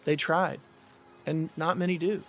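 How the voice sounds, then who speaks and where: Actor as earnest, high-voiced brother. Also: male voice, steady tone, unhurried, fairly bright tone, soft background music. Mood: hopeful. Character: earnest, hopeful, grateful adult brother setting out. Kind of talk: naturalistic audio drama dialogue.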